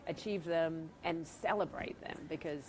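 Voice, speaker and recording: A woman's voice: low voice, unhurried, slight background noise, rough recording. A middle-aged woman with a New Zealand accent, a guest speaking enthusiastically in an interview with frequent laughs. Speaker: author and guest. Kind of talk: interview conversation.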